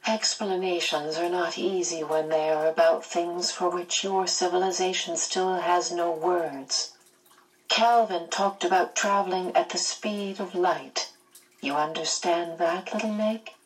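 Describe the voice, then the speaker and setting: A woman voicing a kindly, patient otherworldly female guide who explains gently, recorded at a distance. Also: on the low side, steady tone, a little slow, close to an everyday voice. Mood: gentle.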